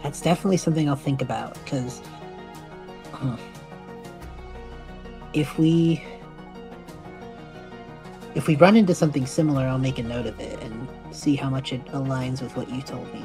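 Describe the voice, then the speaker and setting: A man's voice: mid-range voice, moderate pace, pauses often, music in the background, close to an everyday voice. A man playing an easygoing half-elf student in his early twenties in an improvised story podcast, casual, good-natured and a little hesitant. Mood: casual, thoughtful.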